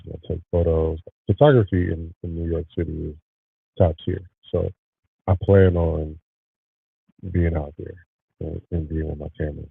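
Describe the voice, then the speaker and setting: Congested deep-voiced man, husky and gravelly. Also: no echo, narrow, tinny audio. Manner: relaxed, talks to live viewers. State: nasally congested; tired. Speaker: podcast host. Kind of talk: casual livestream chat. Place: home studio.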